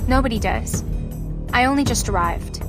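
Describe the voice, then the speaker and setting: A woman's voice: on the high side, animated, normal speed, natural voice. A woman playing a reserved young mutant heroine. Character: young woman newly aware of her mutant powers. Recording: game audio with loud music behind.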